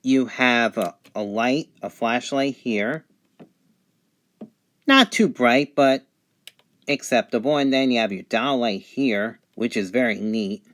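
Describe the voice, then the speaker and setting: A man giving an unscripted product review, speaking haltingly in short phrases with pauses between them. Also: mid-range voice, fairly bright tone. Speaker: gadget reviewer. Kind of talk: unscripted product review, describing features on camera.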